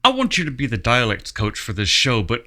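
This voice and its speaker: Bright, forward-voiced American man chatting. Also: medium pitch, wildly swooping pitch, a little fast. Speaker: theatre director being interviewed. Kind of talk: casual chat.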